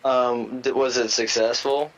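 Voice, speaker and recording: A man's voice: medium pitch, level tone, unhurried. An American man co-hosting a casual podcast chat, arguing his points at length with restarts. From United States. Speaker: podcast co-host. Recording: dull lo-fi sound with faint noise.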